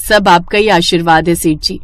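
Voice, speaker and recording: A woman's voice: fairly low pitch, fairly even intonation, normal speed, dry recording, close to an everyday voice. A woman voicing a humble, hardworking poor mother in a children's moral story, deferential and earnest. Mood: grateful.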